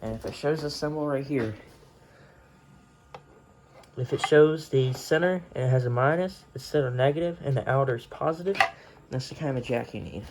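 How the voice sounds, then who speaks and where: Male hobbyist, off-the-cuff show-and-tell, rambling delivery with creaky fry, light background noise. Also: mid-range voice, moderate pace. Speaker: hobbyist collector showing a radio collection. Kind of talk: casual unscripted show-and-tell.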